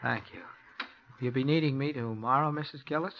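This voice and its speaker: A soft, childlike menace hangs on every word of this unstable young handyman, as an actor plays him. He talks quietly and eerily, in the style of 1940s radio acting. The old recording is dull and lo-fi. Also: medium pitch, brisk, slight background noise, lightly acted. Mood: eerie calm.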